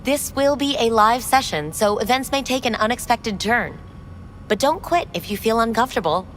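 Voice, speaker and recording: An actress playing a poised, courteous female receptionist at a futuristic club in a video game, with a formal, measured manner. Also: fairly high pitch, lively intonation, a bit bright, a little hiss or hum. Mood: polished. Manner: formal, measured, smoothly sales-like. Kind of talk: video game dialogue acting.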